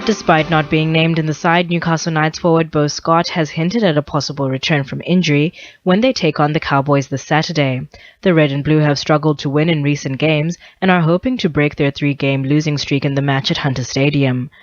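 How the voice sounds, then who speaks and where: A woman's voice: sits low, steady tone, brisk, no room sound. A woman newsreader delivers a scripted bulletin. The sound is very dry and close, with a slightly dull, lo-fi broadcast tone. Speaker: news reader. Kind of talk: scripted radio news bulletin. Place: radio studio.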